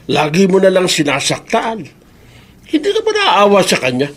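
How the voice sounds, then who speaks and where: An actor playing a kindly, concerned old grandfather in a Filipino radio drama, speaking in hesitant, drawn-out folksy phrases. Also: sits high, huge pitch swings, a bit bright, heavily acted. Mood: reproachful. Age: older.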